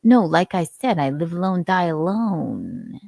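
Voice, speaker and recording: A woman's voice: fairly low pitch, sing-song, measured pace, vocal fry, mellow, no room sound, studio quality, heavily acted. A woman voicing a violent, unrepentant male hawk in his forties who boasts about attacking bald men. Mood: menacing. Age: 40s. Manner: gleeful, unrepentant, menacing boasts.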